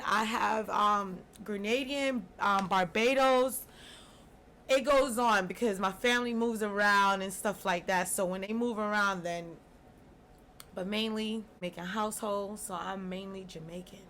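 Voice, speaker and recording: A woman's voice: mid-range voice, fairly even intonation, measured pace, sharp tone, a little hiss or hum. A woman from Brooklyn, a podcast guest chatting casually and laid-back about her drinks business, with slangy asides. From Brooklyn, New York.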